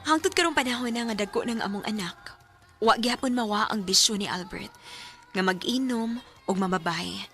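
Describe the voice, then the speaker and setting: A woman's voice: medium pitch, normal speed, a bit bright, soft background music, natural voice. An actress playing a long-suffering, pleading wife and mother in a Visayan radio drama, with a Cebuano Bisaya accent. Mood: sorrowful, resigned.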